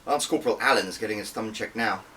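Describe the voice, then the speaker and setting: A man's voice: on the low side, fast, pushed and bright, recorded from a distance, a little hiss or hum, pitched above the performer's own voice. A man voicing a brusque, disapproving intelligence department head in an audiobook, curt and bossy.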